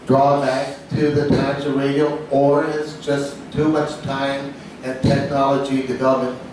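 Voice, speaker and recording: A man asks a formal question from the audience, phrasing it at length and with deliberation. He is far from the microphone in a heavily echoing lecture room, with clear noise behind him. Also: medium pitch, a little mellow. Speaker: audience member asking a question. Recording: very echoey, distant, clear background noise.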